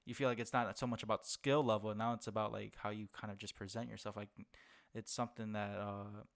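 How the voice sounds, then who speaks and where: A man's voice: fairly low pitch, little pitch movement, fairly quick, vocal fry. A male podcast host asks his questions in a loose, casual way. He stumbles and restarts often, piling up fillers while he hunts for the right word. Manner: hesitant, many fillers and restarts, searching for words. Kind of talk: casual interview.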